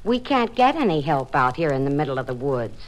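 An actress playing a bitter, sharp-tongued wife stuck at a backwoods camp in a radio drama. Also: female voice, low voice, very animated, fairly quick. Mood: bitter, nagging. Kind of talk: 1950s radio drama acting. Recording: faintly noisy old radio recording.

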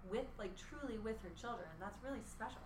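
A woman's voice: a little high, fairly quick, roomy sound, a little hiss or hum. A woman leading a sharing group, affirming each speaker and nudging them to the mic. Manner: warm affirming, lots of back-channel. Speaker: group leader. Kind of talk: encouraging group facilitation.

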